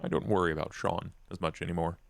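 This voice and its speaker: A man roleplaying a cautious, formal vampire strategist in a tabletop game, choosing words deliberately. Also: low voice, level tone, vocal fry, no echo.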